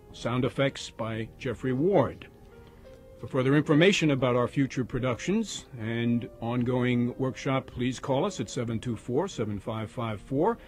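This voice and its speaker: A man's voice: mid-range voice, darkish tone. A man reading the closing credits of a radio drama as its announcer.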